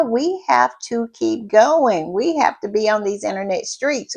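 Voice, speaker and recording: A woman hosting her own channel in casual chat, her voice froggy and hoarse, sounding tired and repeating her thank-yous. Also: medium pitch. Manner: drawn-out, repetitive thank-yous and southern-style "y'all" asides. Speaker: channel host.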